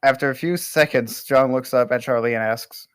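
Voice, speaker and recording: A man narrating stage directions at an amateur table read, reading haltingly with small stumbles. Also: mid-range voice, a bit bright, smooth, no room sound, studio quality.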